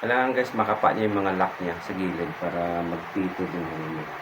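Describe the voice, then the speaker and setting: Low-voiced Filipino man over loud noise. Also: a little fast. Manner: informal, repeats phrases as he demonstrates. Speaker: vlogger giving a hands-on tutorial. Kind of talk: casual hands-on how-to chat. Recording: very noisy background ambience.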